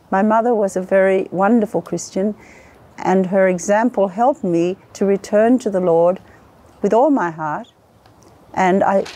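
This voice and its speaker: A middle-aged woman with an Australian accent tells her story in an earnest, measured way. Her voice is mellow and warm rather than bright, and she keeps an even, mid-range pitch. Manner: earnest, measured, lists in careful steps. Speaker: guest, singer and worshipper. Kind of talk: personal testimony.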